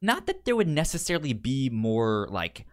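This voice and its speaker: A man's voice: mid-range voice, wildly swooping pitch, moderate pace. A man in a casual film discussion as a podcast co-host, often stammering and repeating the first sounds of words.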